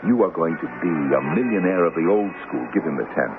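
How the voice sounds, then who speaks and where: An actor playing the Devil as a smug, suave, grandly self-assured swindler in an old-time radio drama. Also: male voice, deep voice, animated, fast talker, over music. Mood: lordly, commanding. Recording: noisy, tinny old radio broadcast recording.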